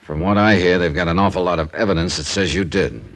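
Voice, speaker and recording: Terse, low-voiced American radio detective. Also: male voice, fast. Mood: firm, pressing. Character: terse, probing male insurance investigator, world-weary but fair. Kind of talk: 1950s American radio crime drama acting. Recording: old radio recording with music.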